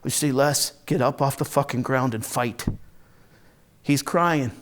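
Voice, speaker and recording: A man playing a volatile, hard-drinking, foul-mouthed Marine from a rough neighborhood, telling his story in a raw, halting dramatic monologue. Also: medium pitch, lightly acted. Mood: grim, pained. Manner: raw, profane, halting then bursting into anger. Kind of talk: first-person dramatic monologue, conversational storytelling.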